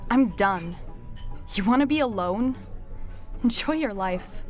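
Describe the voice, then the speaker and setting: A woman's voice: medium pitch, expressive, slow, soft background music. A woman playing a bitter, fed-up fiancée in a film drama, confrontational and cutting. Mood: cold, done. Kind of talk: student film drama acting.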